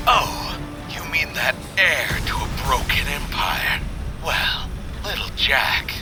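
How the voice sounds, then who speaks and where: A man playing a theatrical, taunting serial killer in an audio drama, mocking and drawing out his phrases, sometimes down to a breathy whisper. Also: fairly high pitch, lively intonation, takes it slowly, over music. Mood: gloating, taunting.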